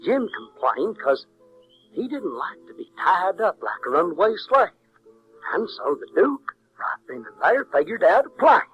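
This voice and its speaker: A plainspoken Southern country boy narrating an audio drama. He sounds fairly high-voiced, folksy and wry, with an easy storytelling manner. Light music accompanies him. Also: very animated, a little slow, fairly bright tone, slightly rough, heavily acted, rough recording. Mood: wry, easygoing. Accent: American South.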